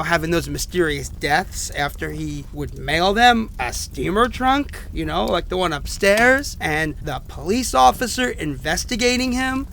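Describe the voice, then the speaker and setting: A man's voice: high-pitched, gravelly, a bit bright, slight background noise, full character voice. A man acting a frightened, exasperated man who records rambling personal messages in a haunted-house audio drama. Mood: incredulous, excited. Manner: conversational, rambling, exasperated, incredulous.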